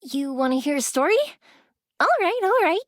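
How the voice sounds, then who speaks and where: A woman's voice: high voice, moderate pace, dry recording. An actress voicing a cheerful, playful young woman who makes fireworks, in game character voice lines with a close studio mic. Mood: wistful. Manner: bubbly and lively, giggling, softens for serious stories.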